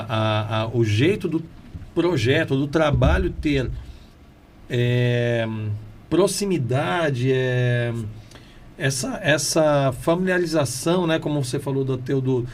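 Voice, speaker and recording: Brazilian male host; earnest, affirming conversationalist, medium pitch, light background hiss. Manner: earnest, agreeable, frequent short affirmations. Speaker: interview host and artist. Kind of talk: conversational interview.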